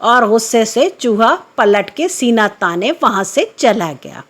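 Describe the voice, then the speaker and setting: A woman narrating a scripted children's story in a measured, expository way. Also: on the high side, lively intonation, heavy background noise. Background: background music.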